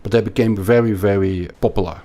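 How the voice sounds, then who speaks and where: A man with a Belgian accent explaining things as an interview guest, haltingly and emphatically, often repeating words. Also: lowish voice, fairly even intonation, dark tone. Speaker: podcast guest, investment analyst.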